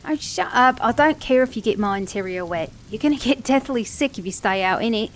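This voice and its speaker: A woman's voice: fairly high pitch, expressive, fairly quick, noisy background, lightly acted. A woman voicing a flirty, sassy, caring mechanic in an intimate ASMR romance roleplay, teasing the listener as her date. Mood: scolding, concerned. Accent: British or Australian.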